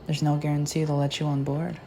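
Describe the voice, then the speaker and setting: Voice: mid-range voice, completely flat delivery, a little fast, dark tone, lightly acted. This is a strict official from an anime world, formal and cautionary in every line. He speaks firmly in a middling register. A fan dubber plays him, with faint music in the background. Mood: stern. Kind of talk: anime fan dub acting.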